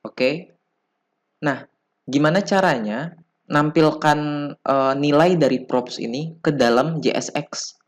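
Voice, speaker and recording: A man with an Indonesian accent giving an informal coding tutorial as an instructor, explaining haltingly, step by step. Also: a little high. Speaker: programming instructor.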